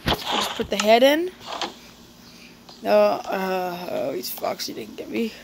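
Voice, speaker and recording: A woman chatting in character during a casual game roleplay, breaking into excited exclamations. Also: mid-range voice, takes it slowly, creaky, noisy background. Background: loud game music.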